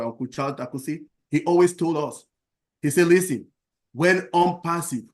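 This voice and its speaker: Earnest guy from cameroon, lots of uhs, kinda high voice. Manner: earnest, repetitive, halting with fillers. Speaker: round table speaker. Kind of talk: impassioned testimonial speech.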